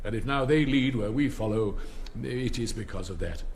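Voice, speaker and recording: A man's voice: medium pitch, moderate pace, a little mellow, a little hiss or hum, natural voice. An older man with an English accent playing a pompous, wistful headmaster in a rambling, donnish manner in a stage comedy. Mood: ceremonious. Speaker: retiring school headmaster. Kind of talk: stage comedy speech-making.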